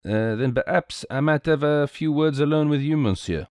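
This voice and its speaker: A man's voice: a little high, normal speed, a little mellow, clean tone, dry recording, studio quality, voice pushed up, close to an everyday voice. A man voicing a small, cheerful, self-assured detective with a courteous, precise, theatrical manner, in a storybook reading. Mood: regretful, polite.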